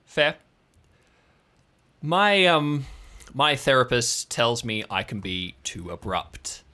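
A man's voice: medium pitch, big pitch swings, slow pace, bright, forceful tone, exaggerated voice. Man playing a stern, blunt female ranger chief. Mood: guarded, conceding. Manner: abrupt, firm, authoritative. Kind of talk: improvised audio drama acting.

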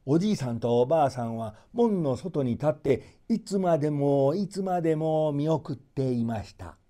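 A male storyteller reads a folk tale in theatrical style. His voice sits a bit high and moves with very lively rises and falls. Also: moderate pace.

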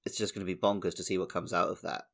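A man with a slightly low voice, talking informally as a co-host on a gaming podcast. His delivery is that of a casual conversation about games. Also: flat delivery, racing, vocal fry, a bit bright. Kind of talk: casual video game chat.

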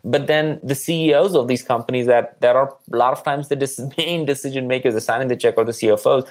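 A man's voice: medium pitch, quick pace. A man speaking as a marketing guest in an interview, conversational and earnest, repeating words for emphasis.

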